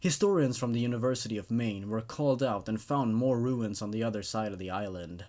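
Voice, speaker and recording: A man's voice: fairly low pitch, a little fast, dark tone. A man hosting and reading stories aloud in a scripted narration style.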